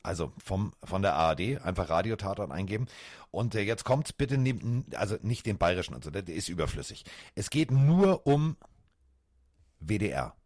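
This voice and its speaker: A man co-hosting a casual podcast, animated and rambling, with many fillers and restarts. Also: lowish voice, normal speed, no room sound, studio quality. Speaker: podcast co-host.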